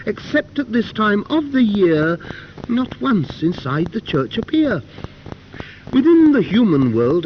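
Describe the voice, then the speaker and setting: A prim church mouse, played by a man, airs pious grievances in measured storybook verse. The voice is fairly high and swings widely in pitch. It comes off a dull old cassette. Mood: wry, puzzled. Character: fussy, pious, aggrieved male church mouse. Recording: dull, faintly noisy cassette recording.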